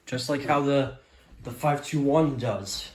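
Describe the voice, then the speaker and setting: A man's voice: mid-range voice, slow pace, a little mellow. A man casually showing off a gadget on a vlog, unscripted, hesitant and repetitive. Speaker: weather radio hobbyist vlogger. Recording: echoey room with background hiss.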